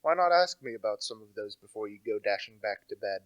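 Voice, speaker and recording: A man's voice: lowish voice, lively intonation, fairly quick, dry recording, muffled recording, natural voice. Young man playing emphatic, cocksure amateur sleuth. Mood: smug. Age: teenager to young adult. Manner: emphatic, self-assured. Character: insistent, cocksure amateur detective gentleman. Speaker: actor. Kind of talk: amateur radio play acting.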